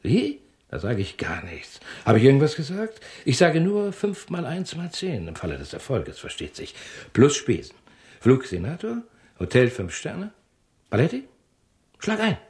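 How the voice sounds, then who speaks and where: Slick scheming broker, wildly swinging intonation. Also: male voice, medium pitch, huge pitch swings, a little slow, a little mellow. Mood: coy, pushy. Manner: colloquial, pushy wheeler-dealer talk with clipped phrases. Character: shady male real-estate broker, slick, bossy, scheming. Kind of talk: radio drama acting.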